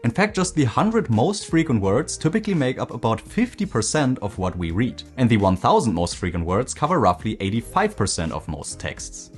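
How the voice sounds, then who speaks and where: A man narrating a scripted language-learning video in a clear, explanatory way. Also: mid-range voice.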